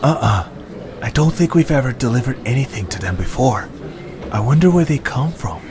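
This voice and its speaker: A man voicing an easygoing, sensible young creature boy with animated, casual delivery in an amateur cartoon audio drama. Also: medium pitch, normal speed, a crowd in the background, lightly acted. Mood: thoughtful.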